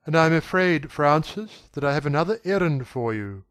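A man voicing a young gentleman who speaks rapidly and with authority, at times pleading. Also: a little high, expressive, no room sound, pitched above the performer's own voice. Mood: brisk, commanding. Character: young gentleman, commanding yet anguished.

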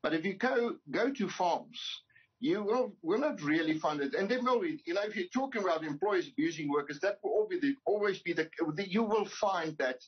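An older man speaks over a video call from his study, his husky voice sitting fairly high for a man. He talks emphatically but stumbles through frequent restarts. The call audio is dull and lo-fi. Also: expressive, a little fast. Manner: hesitant, many restarts, emphatic. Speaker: employers' association representative. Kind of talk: news interview on video call. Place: home study with bookshelves.

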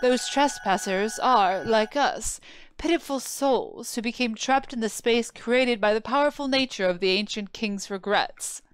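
A woman's voice: a little high, unhurried, a little hiss or hum, lightly acted. A woman playing a proud, obsessive dead homunculus researcher in a video game, with a formal, theatrical, lecturing manner. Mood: solemn, devoted. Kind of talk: scripted video game voice acting. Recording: music behind the voice.

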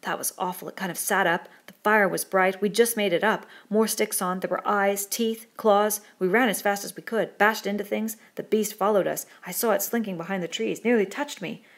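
A woman's voice: mid-range voice, steady tone, moderate pace, hardly any pauses, lightly acted. A woman voicing a frightened twin boy in an audiobook, telling things in breathless, alarmed short bursts. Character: Sam and Eric, frightened breathless twin boys. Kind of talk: audiobook character dialogue.

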